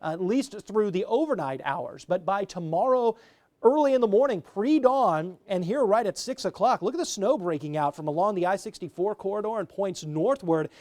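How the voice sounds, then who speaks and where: A man with a fairly high, bright voice gives the morning weather on local TV. He talks in a chatty, easygoing way, with a few fillers and self-corrections. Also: animated, moderate pace. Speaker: meteorologist. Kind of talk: TV weather forecast.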